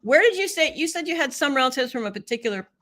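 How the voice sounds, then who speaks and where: A woman's voice: high voice, lively intonation, fast talker, a bit bright. A woman hosting a live stream, chatting casually, laughing often and reading viewer comments aloud. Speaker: livestream host.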